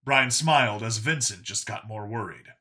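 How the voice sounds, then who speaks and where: Very bright, forceful male story narrator. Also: fairly low pitch, moderate pace. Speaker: audiobook narrator. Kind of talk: dramatic scripted story narration.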